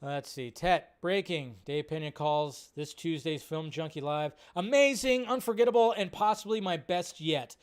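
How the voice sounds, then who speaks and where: A male livestream host with a smooth, clear voice pitched a little on the high side. He chats casually and rambles off the cuff, often repeating a phrase as he goes. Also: moderate pace, few pauses. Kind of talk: casual live chat, reading and answering viewer questions.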